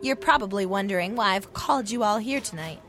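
A woman's voice: medium pitch, a little fast, fairly bright tone, soft background music, natural voice. A woman playing a clever, confident young girl detective in an old-time radio mystery.

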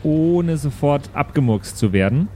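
A man's voice: on the high side, animated, unhurried, mellow, a little hiss or hum. A man, chatting casually as a player in an improvised tabletop game.

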